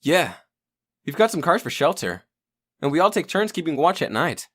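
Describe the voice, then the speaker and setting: A man's voice: on the high side, very animated, normal speed, a bit bright, slightly rough, heavily acted. A man playing a streetwise young survivor who guides a newcomer in a dubbed comic drama. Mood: grave, explaining.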